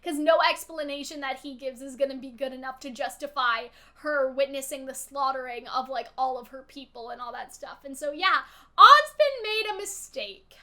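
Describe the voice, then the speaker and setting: Very high-pitched, bright-voiced woman commentator. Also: moderate pace. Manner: emphatic, exasperated, swears freely, often checks in with "right?". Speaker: vlogger, story analyst. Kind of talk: casual, opinionated story commentary.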